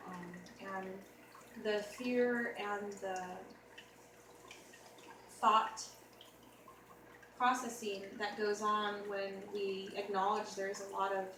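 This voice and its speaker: An American woman hosting a casual conversation, speaking thoughtfully and earnestly. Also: mid-range voice. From United States. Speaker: host. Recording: echoey room, mic far off, noisy.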